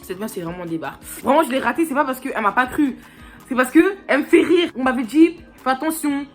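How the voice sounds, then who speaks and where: A woman's voice: high voice, lively intonation, brisk, faint music behind. Chatty young French woman vlogging. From France. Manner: chatty, giggly, rambling with fillers. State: has a cold. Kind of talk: casual vlog talk.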